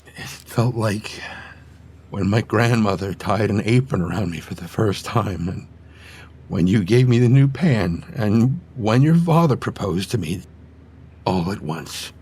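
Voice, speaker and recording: A man voicing a gentle, sentimental aging father animal in a motion comic, speaking tenderly and with emotion in a soft, husky voice. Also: fairly low pitch, lively intonation, a little slow. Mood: awed, tender.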